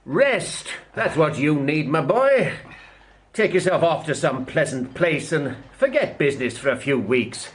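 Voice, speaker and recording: A man's voice: on the high side, animated, a little slow. An actor playing a kindly older uncle in a period audio drama, hearty and emphatic as he gives firm advice. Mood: hearty, insistent.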